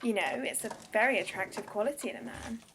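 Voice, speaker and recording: A woman's voice: a little high, fast talker, noisy background, natural voice. An actress playing a teasing, flirtatious woman who is insecure and wounded underneath, in a radio drama. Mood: flirty, teasing. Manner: teasing, confessional.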